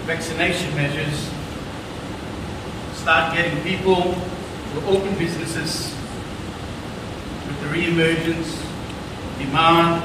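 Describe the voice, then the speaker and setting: A man giving a formal prepared speech as a government official, with slow, measured delivery. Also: fairly high pitch. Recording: echoey hall from far off, noisy.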